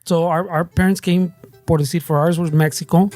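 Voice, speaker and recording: A man's voice: fairly high pitch, steady tone, brisk, darkish tone, dry recording, faint music behind. A man hosting a casual podcast chat, rambling and restarting as he switches between Spanish and English and swears freely. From United States. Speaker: podcast host.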